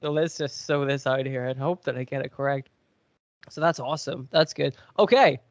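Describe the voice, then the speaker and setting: A man's voice: mid-range voice, normal speed, no echo, muffled recording. A man with a cold, sniffly, chatting and joking as he hosts a podcast quiz. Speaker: podcast co-host. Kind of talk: casual podcast chat.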